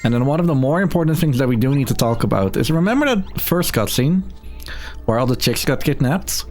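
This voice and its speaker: A man's voice: mid-range voice, very animated, a little fast, a little mellow, faint music behind. A man giving casual, tongue-in-cheek live game commentary as a speedrunner at a marathon.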